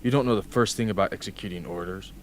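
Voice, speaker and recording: Man acting deep-voiced, firm military superior. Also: fast, lightly acted. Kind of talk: amateur radio play acting. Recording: noisy amateur recording.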